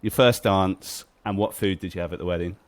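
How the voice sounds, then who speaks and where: A man's voice: low-pitched, fairly quick, no echo. A man hosting an interview podcast, asking questions in a chatty, meandering way. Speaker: podcast host. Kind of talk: casual interview chat.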